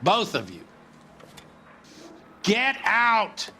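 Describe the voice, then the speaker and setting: An actor playing a curt, commanding, foul-mouthed police captain in a 1940s crime drama game. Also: male voice, sits high, wildly swooping pitch, very slow, sharp tone, a little hiss or hum, heavily acted. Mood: brusque orders. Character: hard-nosed, foul-mouthed police captain running a squad.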